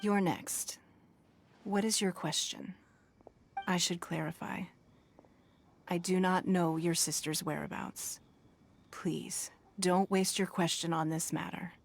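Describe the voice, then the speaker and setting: Shrewd, stately female tycoon for a game: lowish pitch, measured, formal, quietly commanding. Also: steady tone, creaky, slight background noise. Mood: composed. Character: poised, wealthy businesswoman leader, composed, shrewd and formal. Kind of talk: video game voice acting.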